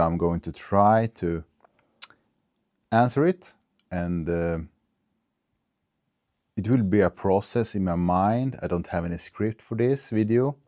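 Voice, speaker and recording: A low, mellow male voice with a clear Swedish accent, musing to camera in unscripted English. He is in his forties and hesitant, filling gaps with ums and restarting his sentences. The sound is close and dry, from a small clip-on mic. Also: dry recording. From Sweden. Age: 40s. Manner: thinking aloud, halting with fillers and restarts. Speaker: vlogger. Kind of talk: unscripted casual vlog. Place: recorded on a phone camera. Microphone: small clip-on wireless mic.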